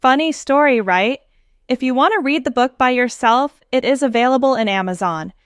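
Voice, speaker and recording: A woman's voice: sits high, normal speed, clear, resonant tone, dry recording, studio quality. A woman reading a storybook aloud for young children, expressively and in simple phrases, as the host. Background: children's music with jingles.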